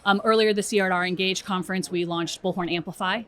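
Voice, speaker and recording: A woman's voice: lowish voice, steady tone, fast talker, a bit bright. A woman speaking as an expert guest in a conversational interview, explaining things and often checking in with "right?". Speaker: interview guest, strategy director at a software company.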